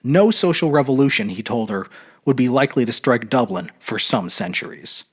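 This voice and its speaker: A man narrating a literary short story aloud in an expressive, measured, dramatic audiobook style. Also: mid-range voice, husky. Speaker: storyteller reading fiction aloud.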